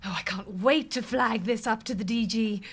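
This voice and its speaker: An actress with a British accent playing an earnest, gullible, excitable manager of an office in an audio comedy. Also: female voice, on the high side, moderate pace, husky. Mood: earnest, eager.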